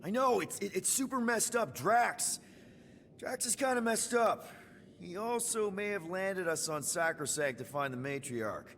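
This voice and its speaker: An actor playing an earnest, flustered young leader of a spacefaring team in a video game, informal, stammering and pleading. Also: male voice, high-pitched, animated, unhurried, big-room sound, background noise. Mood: urgent, upbeat. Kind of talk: video game cutscene acting.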